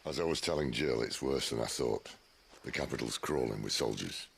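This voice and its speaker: An actor playing a wry, confident outlaw comrade in a game cutscene, with a gruff, very deep voice. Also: male voice, moderate pace, a bit bright, a little hiss or hum, exaggerated voice. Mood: matter-of-fact. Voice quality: very deep, gravelly. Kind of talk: dramatic video game cutscene acting.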